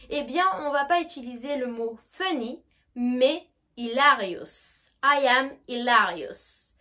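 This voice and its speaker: A woman with a French accent giving an online English vocabulary lesson, speaking directly to the viewer and slowing down to model words. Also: sits high, bright, forceful tone. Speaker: English teacher.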